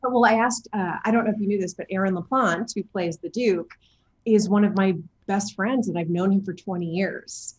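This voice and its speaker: A woman chatting casually as an interview guest, talking in an effusive, animated storytelling way. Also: mid-range voice, normal speed, low-quality recording. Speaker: interview guest, game voice and motion capture actor.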